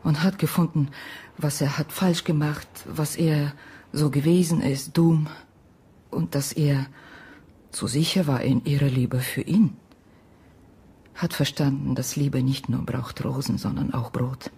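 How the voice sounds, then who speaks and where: An actress playing a sorrowful Ukrainian woman in a radio drama, speaking halting, broken German in a breathy half-whisper with an Eastern European accent. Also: low-pitched, fairly even intonation, warm tone, exaggerated voice. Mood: wistful. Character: sorrowful Ukrainian woman recounting a lost love. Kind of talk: radio drama acting, intimate storytelling.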